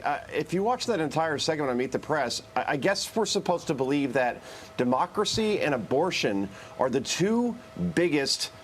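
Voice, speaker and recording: A man's voice: fairly high pitch, a bit bright, a little hiss or hum. An American man giving emphatic political commentary as a guest on live television, building lists and rhetorical questions.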